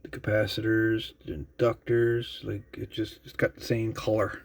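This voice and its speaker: A man giving a casual, unscripted show-and-tell as a video host, musing haltingly and trailing off as he goes. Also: fairly low pitch, little pitch movement. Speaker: host of a radio repair channel.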